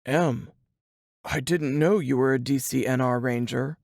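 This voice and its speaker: Terse male detective voiced by a female narrator, creaky low-key audiobook dialogue, close dry sound. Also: a little slow, darkish tone, dry recording, exaggerated voice. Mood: restrained. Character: male police detective, an old high school sweetheart.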